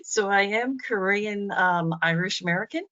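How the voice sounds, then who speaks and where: A woman's voice: medium pitch, normal speed, pushed and bright. A woman facilitating a panel from her home on a video call, speaking in a friendly, easygoing, self-deprecating way. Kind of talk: conversational introductions on a video call. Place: home office. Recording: dull lo-fi video call audio.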